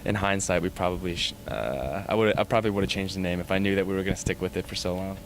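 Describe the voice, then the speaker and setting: Man, 30s, musician, low pitch, halting casual interview answers. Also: a little hiss or hum. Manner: hesitant, rambling with many fillers. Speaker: band musician.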